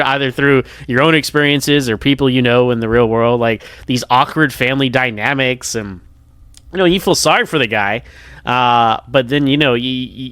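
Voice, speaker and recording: An American man talks through his opinions in a loose, conversational review. His pitch is mid-range and the sound is dry and close, with little room to it. He is hesitant and often breaks off to restart a thought. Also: fairly bright tone, dry recording. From United States. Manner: hesitant, self-interrupting, conversational. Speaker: podcast listener giving episode feedback. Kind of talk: casual rambling review commentary.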